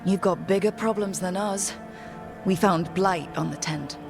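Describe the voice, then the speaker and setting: An actress playing the determined leader of a hunting crew, speaking firmly and urgently. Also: female voice, on the low side, unhurried, vocal fry, over music. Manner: firm, urgent. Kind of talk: video game cutscene acting.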